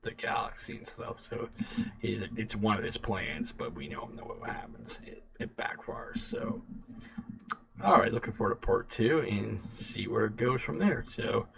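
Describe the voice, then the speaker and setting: Low, creaky-voiced man rambling casually. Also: fairly bright tone, far from the mic. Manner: halting, repetitive, loose phrasing. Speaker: reaction video host. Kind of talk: casual rambling reaction commentary.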